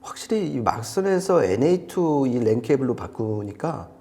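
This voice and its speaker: Guy reviewing gear, mellow relaxed tone but really up and down, kinda excited and emphatic. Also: mid-range voice, normal speed. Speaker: audio gear reviewer on a shop's video show. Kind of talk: conversational product review.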